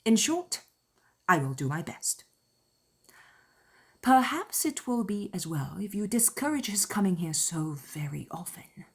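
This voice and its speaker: A woman voicing a spirited young woman in an audiobook drama, with an animated, emphatic manner, playful yet sincere. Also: mid-range voice, a little slow, pauses often, slightly rough, heavily acted. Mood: earnest, emphatic. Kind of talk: dramatized audiobook character dialogue.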